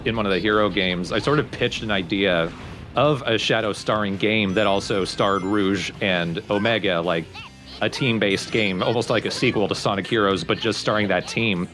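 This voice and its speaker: A man's voice: fairly low pitch, a bit bright. A man doing casual, analytical and opinionated commentary for a video game let's play.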